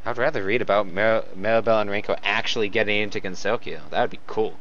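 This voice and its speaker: A young man in casual fan chat, halting but opinionated, on a lo-fi voice call. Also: fairly low pitch, fairly even intonation, a bit bright. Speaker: co-host commentator.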